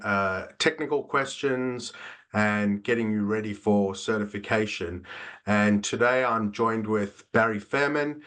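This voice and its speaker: A man with a South African accent hosting a business webinar over a video call, talking in a measured way with frequent fillers. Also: medium pitch, fairly bright tone. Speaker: webinar host. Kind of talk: corporate webinar presentation.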